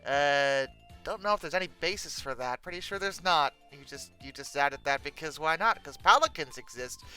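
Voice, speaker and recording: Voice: medium pitch, expressive, normal speed, pushed and bright, clean tone, soft background music. A commentator giving humorous off-the-cuff commentary, stammering and hesitating, with bursts of theatrical exasperation. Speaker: YouTube host. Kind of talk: humorous unscripted commentary on pictures.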